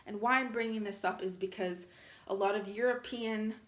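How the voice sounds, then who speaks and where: A woman's voice: medium pitch, steady tone, normal speed, fairly bright tone. Earnest Armenian-born woman in an echoey room. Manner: earnest, keeps checking in with "okay?". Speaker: travel vlogger. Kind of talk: casual direct-to-camera monologue. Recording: roomy echo.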